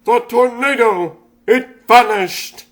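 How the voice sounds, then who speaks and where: A man's voice: very high voice, takes it slowly, fairly bright tone, exaggerated voice. A man voicing a wry rabbit superhero leader in a comic dub, theatrical and sardonic, over music. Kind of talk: comic dub character acting. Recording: faint music underneath.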